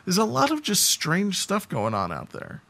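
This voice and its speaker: Male podcast co-host, American, pitch a little above average, informal conversational delivery. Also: wildly swooping pitch, moderate pace, creaky, sharp tone. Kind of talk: casual podcast chat.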